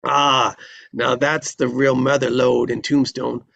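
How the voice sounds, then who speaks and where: A man's voice: mid-range voice, little pitch movement, slow, vocal fry, a bit bright, no echo, lo-fi sound, exaggerated voice. A man voicing a jovial old frontier marshal with folksy western talk, in a screenplay table read. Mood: jovial, knowing.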